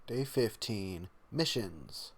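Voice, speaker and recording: A man giving casual reaction commentary and reading aloud, halting and stumbling over words. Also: on the low side, expressive, breathy edge. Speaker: reaction video host.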